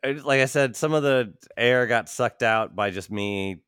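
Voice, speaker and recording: A man's voice: medium pitch, a little slow, a bit bright, smooth, dry recording, studio quality. A man giving casual podcast commentary in a wry, skeptical way.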